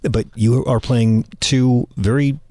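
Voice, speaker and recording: A man's voice: medium pitch, fairly even intonation, takes it slowly, mellow, no room sound. A man interviewing a guest, asking engaged questions and prompting with brief affirmations. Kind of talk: interview conversation.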